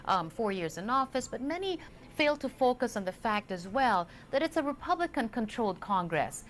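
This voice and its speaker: A woman's voice: fairly high pitch, very animated, normal speed, slight background noise. Anchorwoman asking questions with many fillers. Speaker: news anchor. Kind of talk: TV interview.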